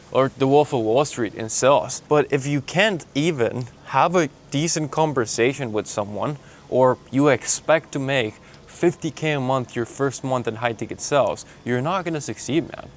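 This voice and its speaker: A man's voice: mid-range voice, a little hiss or hum. Blunt Mexican-accented man giving a pep talk. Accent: Mexican Spanish. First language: Spanish. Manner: blunt, pep-talk delivery, calling the listener man and bro. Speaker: sales coach. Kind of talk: motivational vlog monologue. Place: outdoors while traveling. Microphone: handheld camera filming himself.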